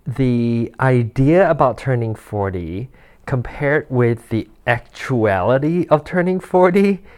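A man's voice: mid-range voice, darkish tone. A man of forty hosting a solo podcast in a casual, rambling way, with fillers, restarts and frequent chuckles. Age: 40s. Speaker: podcast host. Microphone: handheld or desk mic with rustle.

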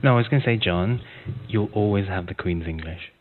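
A man's voice: deep voice, brisk, narrow, tinny audio, faint music behind. A man from the United Kingdom, a guest podcaster, chatting casually with lots of ums and teasing chuckles. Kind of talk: casual chat on a conference call.

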